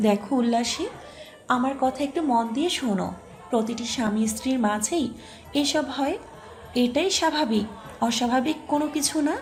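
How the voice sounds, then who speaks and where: A high-pitched, bossy neighbour woman played by a woman in an audio story drama. She scolds and lectures with sarcastic, needling questions. Soft music runs behind her. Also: moderate pace, long pauses, lightly acted. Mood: firm persuading.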